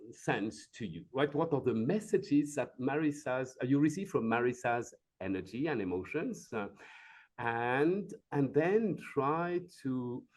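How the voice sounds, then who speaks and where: A man leading a live coaching demonstration as an executive coach, speaking gently and invitingly, with many fillers and "right?" check-ins. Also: medium pitch.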